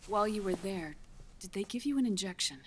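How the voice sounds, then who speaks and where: An actress playing a grave, guarded scientist in a video game, measured and solemn, with a resigned air. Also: female voice, medium pitch, animated, slight background noise, lightly acted. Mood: grave, clinical. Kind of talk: dramatic video game voice acting. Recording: musical score underneath. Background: dramatic game soundtrack music.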